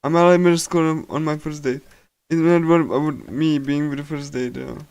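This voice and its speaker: A man reading a visual novel's text aloud on a casual live stream, in a halting, stumbling delivery. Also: on the high side, steady tone, creaky, a little mellow, clear, resonant tone, no room sound.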